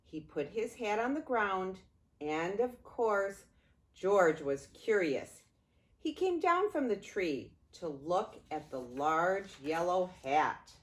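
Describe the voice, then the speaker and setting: Slow, lively older woman reading a storybook. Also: on the low side. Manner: expressive, with drawn-out words and pauses. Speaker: grandmother reading a storybook to grandchildren. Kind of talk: read-aloud children's story time.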